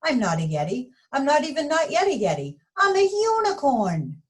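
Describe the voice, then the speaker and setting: An earnest little yeti boy from a storybook, played by a woman reader. The voice is childlike and plaintive, with very lively swings in pitch. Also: moderate pace. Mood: earnest, determined. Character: earnest small young boy yeti who longs to belong.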